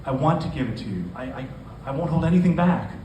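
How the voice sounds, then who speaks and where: A man's voice: medium pitch, animated, fast, darkish tone, highs cut off, natural voice. A man reading the lines of a hesitant boyfriend, willing to please, in a story. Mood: earnest. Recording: echoey, noisy venue, distant microphone.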